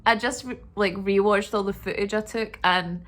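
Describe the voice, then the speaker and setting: A woman vlogging a casual apartment tour indoors, talking in a monotonous, relaxed way with lots of fillers. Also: medium pitch, moderate pace. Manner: monotonous, flat delivery; relaxed, filler-heavy talk.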